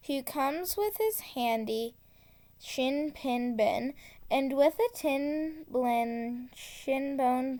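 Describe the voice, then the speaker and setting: A woman reading a children's tongue-twister book aloud, enunciating carefully and deliberately. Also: high voice, darkish tone. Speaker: storybook reader.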